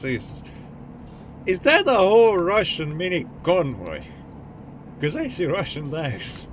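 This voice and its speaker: A man's voice: high voice, huge pitch swings, measured pace. Estonian-accented man streaming over truck noise. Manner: rambling and jokey, answering chat. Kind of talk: casual chat with live chat while gaming.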